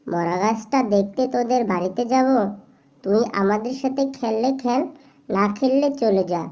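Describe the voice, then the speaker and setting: Fairly high voice, dismissive cartoon youngster, scornful playmate, played by a woman. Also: normal speed, dark tone, lightly acted. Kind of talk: children's cartoon voice acting.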